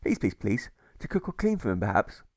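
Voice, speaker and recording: A man's voice: fairly low pitch, very animated, a little fast, dry recording, studio quality. A man voicing a meek, polite young male prisoner in an audiobook story. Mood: pleading.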